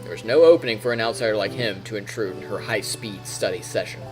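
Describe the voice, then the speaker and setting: A man, a streamer reading a visual novel aloud in an expressive storytelling style with casual asides. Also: lowish voice, fast, music in the background, poor audio.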